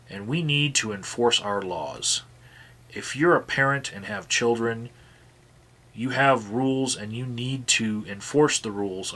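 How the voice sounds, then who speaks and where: A man's voice: lowish voice, fairly bright tone. A man reading call records aloud and adding his own commentary, sighing often and restarting his sentences. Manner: sighing, halting restarts between read passages. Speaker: activist vlogger reading out call logs.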